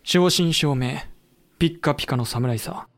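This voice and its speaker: An actor playing a samurai-era veteran who narrates a historical audio drama in a chatty, wry storytelling manner. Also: male voice, fairly high pitch, unhurried, no echo, clean studio sound. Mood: wry, familiar. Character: colloquial samurai-era veteran recounting his comrades' lost war. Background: background music.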